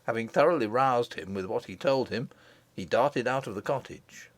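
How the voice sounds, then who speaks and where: A man narrating an audiobook in an expressive, dramatic storytelling style. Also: mid-range voice, normal speed, dry recording. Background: background music.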